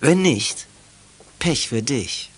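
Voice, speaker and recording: An actor playing a menacing, sarcastic young drug dealer in a youth radio play, talking in threatening street slang. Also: male voice, mid-range voice, lively intonation, takes it slowly, slightly rough, dry recording.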